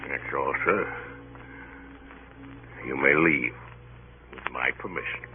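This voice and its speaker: Deep, slow, grandiloquent, taunting man. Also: gravelly, full character voice. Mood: menacing, commanding. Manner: grandiloquent, mocking, slow and deliberate. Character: imposing, taunting, theatrical man, an unwanted ship passenger. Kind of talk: 1940s radio acting. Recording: tinny old radio broadcast, faint music.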